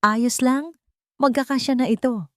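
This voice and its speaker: A woman's voice: high-pitched, fairly even intonation, unhurried, no echo, pitched above the performer's own voice. A concerned older mother-in-law with a Filipino accent, played by a woman narrator, talks tentatively and caringly in a story drama. The recording is dry.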